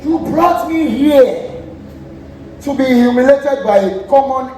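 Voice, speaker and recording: A man's voice: very high voice, slow pace, warm tone. A young man with a Nigerian accent acting a proud, defiant defender of tradition on stage. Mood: bitter, scornful. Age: young adult. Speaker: student stage actor. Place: theatre stage, recorded from afar. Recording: distant, echoey theatre with noise.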